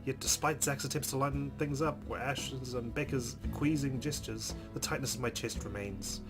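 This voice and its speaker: Male gaming host narrating a visual novel, chatty and expressive, clear music bed underneath. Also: mid-range voice, moderate pace. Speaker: let's-play host. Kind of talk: reading visual novel narration aloud with casual asides. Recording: music playing behind voice. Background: game music with guitar.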